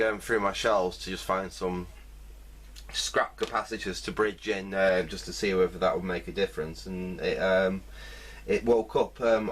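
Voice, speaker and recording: A man's voice: low-pitched, slight background noise. A man giving casual, hesitant, rambling hands-on commentary as a repair video host. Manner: rambling, hesitant, thinking aloud.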